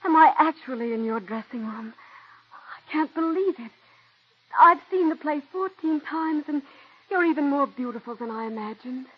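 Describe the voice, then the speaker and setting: An actress voicing a young, stage-struck female stenographer who is adoring and eager, in 1940s radio acting style with a breathy, gushing way of talking. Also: high voice, expressive, moderate pace. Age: young adult. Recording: dull 1940s radio broadcast.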